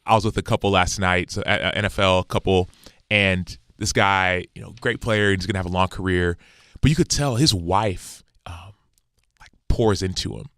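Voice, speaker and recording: A man's voice: deep voice, moderate pace, fairly bright tone, clean tone, no echo, clean studio sound. A man in his thirties from Texas, a former pro football player, answering interview questions earnestly and repeating key phrases for emphasis. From United States, Texas.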